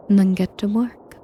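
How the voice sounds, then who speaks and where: A woman playing a sadistic, possessive female dragon in an intimate audio roleplay, speaking in a measured, formal, breathy and commanding way. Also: mid-range voice, mellow, slight background noise. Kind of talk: slow, intimate audio roleplay addressed to the listener.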